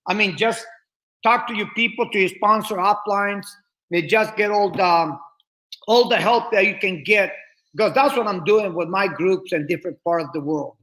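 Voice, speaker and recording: Guy on a live call, high bright voice, keeps stumbling and repeating words. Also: low-quality recording. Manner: halting, repeats words, restarts. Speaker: network marketing leader introducing members. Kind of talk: casual live-stream chat.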